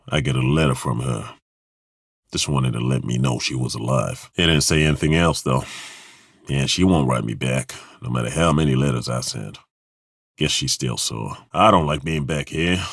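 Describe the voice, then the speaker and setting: A rough-edged former temple guard for a fantasy game, his voice sitting extremely low with a husky grain. He talks bluntly with a wry edge and swears casually, yet his delivery rises and falls with lively swings. The studio sound is bone dry. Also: no echo, heavily acted. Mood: wistful. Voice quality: very deep, commanding voice. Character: gruff, blunt male ex-temple guard fighter. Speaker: companion in a game. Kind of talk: game voice acting. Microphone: studio microphone.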